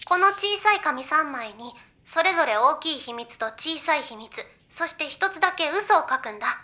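A young female idol in an anime audio drama. Her voice is high and forward, with a crisp, bright edge. She chats in a casual, girlish way and explains her ideas persuasively. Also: expressive, normal speed. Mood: earnest, persuasive. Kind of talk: Japanese anime audio drama, naturalistic banter.

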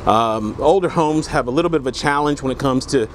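A man's voice: medium pitch, a little fast, slight background noise. A man with a Virginian accent, a real estate agent walking through an empty house and explaining its features in a friendly, explanatory way. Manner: friendly, explanatory, corrects himself mid-sentence. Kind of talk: walkthrough home tour narration. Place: empty house with bare walls and wood floors, indoors. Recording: echoey empty rooms.

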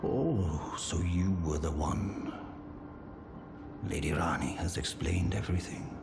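Very deep, slow old giant. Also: male voice, background noise. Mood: courteous, earnest. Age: older. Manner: slow, courteous, self-deprecating. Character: gentle old giant blacksmith and war counselor. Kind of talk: scripted video game character dialogue. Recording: loud music behind the voice. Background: music.

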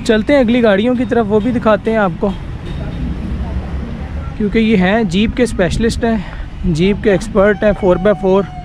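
A man's voice: high voice, steady tone, normal speed, long pauses. A man with a Pakistani Urdu accent casually walking through an outdoor workshop yard, pointing out vehicles in a chatty tour. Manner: chatty, pointing things out, frequent "ji" and "dekh rahe ho". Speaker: vlogger touring a vehicle workshop. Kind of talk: casual walk-and-talk tour. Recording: outdoor street noise behind voice.

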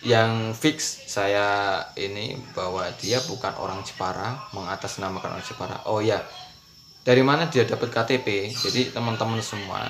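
A man in his thirties with a thick Javanese accent speaks straight to camera in an earnest, plain-spoken vlog. His voice sits fairly low. A faint hum of room noise runs underneath. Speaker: furniture seller. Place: indoor room.

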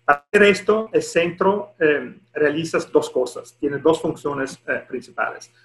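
Halting Italian man, roomy echo. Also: fairly high pitch, lively intonation. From Italy. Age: middle-aged. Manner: halting, searching for words in non-native Spanish. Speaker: deputy director at an international organisation, presenting. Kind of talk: formal presentation. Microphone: headset on a video call. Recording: roomy echo on video call.

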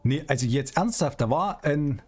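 A man's voice: a little high, lively intonation, normal speed, breathy edge. Chatty man streaming a roleplay game. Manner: chatty, colloquial, playful. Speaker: streamer hosting a GTA roleplay series. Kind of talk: casual gameplay commentary and in-character chat. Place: small indoor streaming room.

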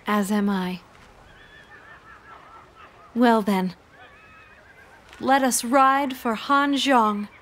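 A woman voicing a devoted, gentle young wife and war heroine in a video game, speaking in an earnest, formal way. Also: a little high, very slow, pauses often. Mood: earnest, tender. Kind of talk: scripted video game cutscene acting.

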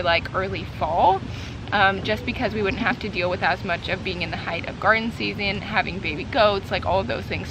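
A woman's voice: medium pitch, noisy background. A young woman chatting casually to camera outdoors in a backyard, in a conversational way sprinkled with "like" and "you know". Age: young adult. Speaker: homesteading family vlogger.

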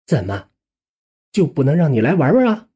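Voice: mid-range voice, big pitch swings, moderate pace, mellow, husky. A narrator voicing a bossy but doting middle-aged aunt in an audio story, nagging and coaxing.

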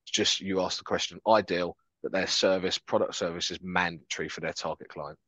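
A man's voice: deep voice, steady tone, a little fast, a bit bright, no echo. A man, a guest chatting upbeat and casually on a podcast over a headset video call. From France. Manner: upbeat, chatty, self-deprecating humour. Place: home office, video call. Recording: dull, slightly lo-fi call audio.

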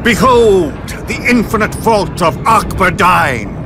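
An actor playing a grandiose, defiant villain in an animated game, theatrical and pompous. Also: male voice, high-pitched, slow pace, background noise. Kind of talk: animated game dramatic acting. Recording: loud music behind the voice.

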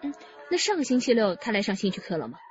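A woman's voice: medium pitch, very animated, fast, highs cut off, close to an everyday voice. An actress playing an inquisitive, outspoken female police detective in a radio drama. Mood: questioning. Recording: band-limited radio drama with music behind.

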